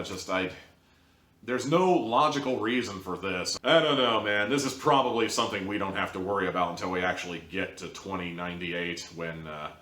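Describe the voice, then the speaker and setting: A man's voice: deep voice, pushed and bright. A man giving a casual, opinionated review as a show host, blunt and conversational, rambling off into asides. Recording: roomy, echoing home recording.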